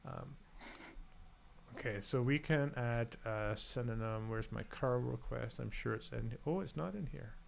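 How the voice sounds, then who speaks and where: A man's voice: medium pitch, unhurried, vocal fry, slight background noise. A man with a light Scottish accent hosting a live-coding stream, talking through his steps and joking about himself as he types. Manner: self-deprecating, thinks aloud while typing. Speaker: host. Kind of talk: casual live-coding chat.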